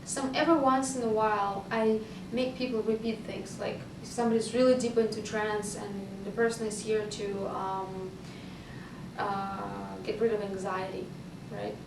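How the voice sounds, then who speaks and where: A woman's voice: mid-range voice, level tone, normal speed. A woman from Russia with a Russian accent, a hypnotist answering interview questions thoughtfully with hesitant fillers. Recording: echoey room, far from the mic, noisy.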